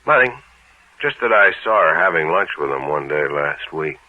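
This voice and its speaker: An actor playing a knowing, insinuating American investigator in an old radio crime drama, talking in a wry colloquial way. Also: male voice, extremely low pitch, expressive, normal speed, no echo. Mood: sly, knowing. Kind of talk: 1950s radio drama acting. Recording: thin, tinny old radio recording.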